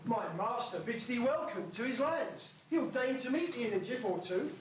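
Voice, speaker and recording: A man's voice: very high-pitched, lively intonation, moderate pace, far from the mic. A man playing a pompous servant in an amateur pantomime, declaiming formally in mock-archaic rhyme. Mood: stately. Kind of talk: amateur pantomime acting, rhyming verse. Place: school hall stage, far camera. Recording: noisy, echoey amateur stage recording from afar.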